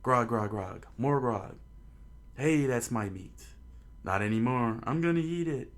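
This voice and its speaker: A man voicing a boisterous crew of male pirates, loud and rowdy. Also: mid-range voice, lively intonation, a little slow, close to an everyday voice.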